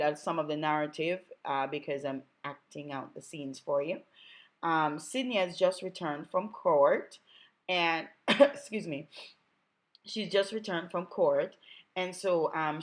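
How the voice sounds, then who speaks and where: A Jamaican woman who is a little under the weather, reading her own novel aloud in a chatty, enthusiastic way. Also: sits low. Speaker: author.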